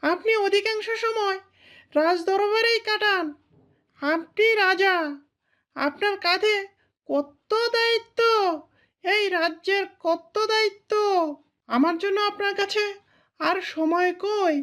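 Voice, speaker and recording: Woman acting very high-voiced wistful cartoon queen. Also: slow pace, long pauses, a bit bright, full character voice. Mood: wistful, sulky. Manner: plaintive, coy. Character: lonely, wistful queen, sulky and pleading wife.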